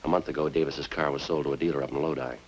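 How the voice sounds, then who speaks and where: A man playing a terse, unflappable police sergeant in a radio crime drama, speaking in a clipped, deadpan, matter-of-fact way. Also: rumbling low, very fast, slight background noise. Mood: dry, pressing. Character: terse, unflappable male police sergeant narrating his case.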